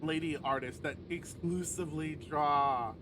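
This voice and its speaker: A man with a fairly high voice reads a visual novel aloud as a streamer, breaking into informal commentary. He swears freely and talks like he is among friends. There is clear noise behind his voice. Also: a little slow. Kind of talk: casual livestream read-aloud with commentary. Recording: noisy livestream with clear background noise.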